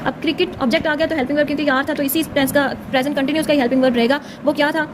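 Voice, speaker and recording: A woman with a very high voice teaches at a quick pace, explaining step by step in an informal tutorial manner. She keeps adding short check-in tags, and clear background noise runs behind her. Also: steady tone. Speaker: English grammar teacher.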